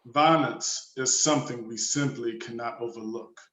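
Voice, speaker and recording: A man acts out a grizzled monastery superior in his fifties, strict and admonishing. His mid-pitched voice rings in an echoey room during a drama reading. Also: moderate pace, a bit bright, lightly acted. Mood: stern rebuke.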